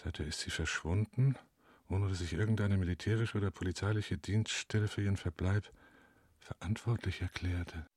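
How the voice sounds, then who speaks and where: An actor in a German radio play as a reserved client, speaking in a terse, subdued way. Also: male voice, deep voice, steady tone, moderate pace, darkish tone, a little hiss or hum, lightly acted. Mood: subdued reading. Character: reserved adult male client hiring a detective.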